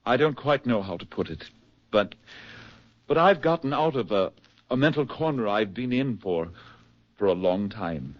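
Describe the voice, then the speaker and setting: An actor playing a haunted, gentle man finding his way back, halting and earnest, in 1940s radio drama style. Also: fairly low pitch, animated, dry recording, natural voice. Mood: earnest, relieved. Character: haunted, gentle man recovering from a broken mind. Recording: muffled 1940s radio recording, music behind.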